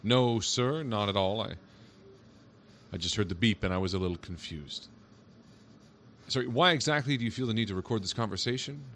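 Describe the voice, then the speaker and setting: Guy acting as a cop in an audio drama, deep voice, calm and nosy, bit of background noise. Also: brisk, long pauses, lightly acted. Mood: wary, puzzled. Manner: casual, patient, questioning. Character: calm, probing, mildly amused male police officer.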